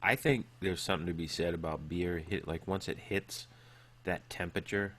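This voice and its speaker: A man's voice: deep voice, normal speed. A man co-hosting a beer tasting podcast, joking around and laughing in a loose, casual way. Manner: joking, animated, laughs often. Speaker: podcast co-host.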